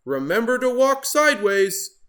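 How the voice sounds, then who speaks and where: Storybook pig, read by a man: resourceful, encouraging, unhurried, lively. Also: extremely high pitch, pitched above the performer's own voice, full character voice. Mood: helpful.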